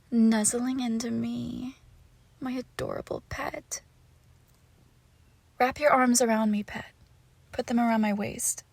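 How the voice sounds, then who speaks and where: A woman playing a lovesick, possessive young witch in an intimate roleplay audio, cooing haltingly and tenderly with a creepy edge, often dropping into whispers. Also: on the high side, creaky, no room sound, heavily acted. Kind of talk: intimate roleplay audio acting, close to the listener.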